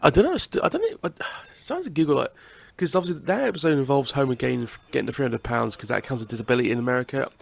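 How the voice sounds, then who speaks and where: A man's voice: medium pitch, expressive, quick pace, gravelly, few pauses. A young British man with a cold and a blocked nose, casually commentating a video game playthrough, chatty and swearing now and then. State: congested with a cold. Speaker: gaming channel host. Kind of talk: casual let's-play commentary.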